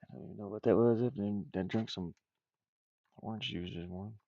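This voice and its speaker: A man with a very low, creaky voice talks casually to himself as an indie game designer at work. He rambles and mutters with playful asides. The sound is close and dry but a bit dull. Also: fairly even intonation, fairly quick, dry recording. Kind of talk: casual chat while drawing game art, thinking aloud.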